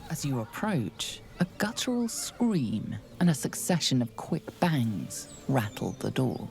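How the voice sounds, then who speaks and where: A woman playing the watchful narrator of a fantasy game, telling it in a measured, ominous way. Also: extremely low pitch, very animated, creaky, darkish tone, full character voice. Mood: grave, intrigued. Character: grave, watchful female storyteller of a fantasy game. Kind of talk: dramatic second-person scripted narration. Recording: music behind the voice.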